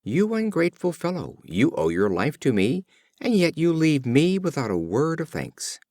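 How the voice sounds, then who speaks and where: A man's voice: fairly high pitch, huge pitch swings, moderate pace, a little mellow, no room sound, clean studio sound, pitched above the performer's own voice, full character voice. A man voicing an indignant woodcutter in a storybook fable, exclaiming reproachfully.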